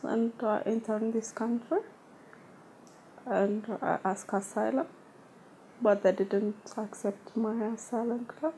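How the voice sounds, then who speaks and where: A young woman with an Eritrean accent answers interview questions slowly and haltingly in simple English. Her voice is husky and soft-toned, a little high, and is recorded with a clip-on mic in a quiet indoor room. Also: low-quality recording. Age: 20s. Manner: halting, non-native grammar.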